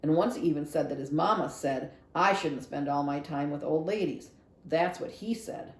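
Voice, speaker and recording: A woman voicing an earnest, curious and stubborn young girl in a children's novel read-aloud, expressive and full of questions. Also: sits low, normal speed, roomy sound, natural voice. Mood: indignant.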